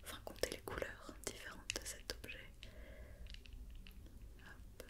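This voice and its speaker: A woman speaking in a soft, breathy whisper, playing a patient, methodical doctor in an ASMR check-up roleplay. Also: a little slow. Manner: patient, methodical, repeating instructions and questions. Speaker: ASMR performer playing a doctor examining a robot.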